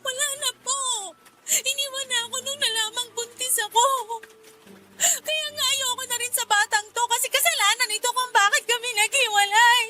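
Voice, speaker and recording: A woman's voice: extremely high pitch, fairly even intonation, normal speed, music in the background. A woman playing a distressed, resentful young pregnant daughter in a radio drama, arguing and pleading. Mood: hurt, bitter. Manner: pleading, argumentative. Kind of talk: Tagalog radio drama acting.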